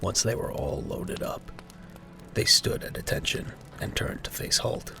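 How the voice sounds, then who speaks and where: A man's voice: measured pace, pushed and bright. A man reading scripted narration for a military audio drama as its narrator. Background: music.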